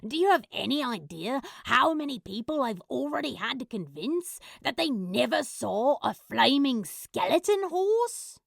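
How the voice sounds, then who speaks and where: A woman's voice: high-pitched, big pitch swings, a little slow, gravelly, hardly any pauses, dry recording, studio quality, full character voice. A woman voicing a sardonic, exasperated male demon in an audio story, grumbling as he talks. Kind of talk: audio fanfiction character acting.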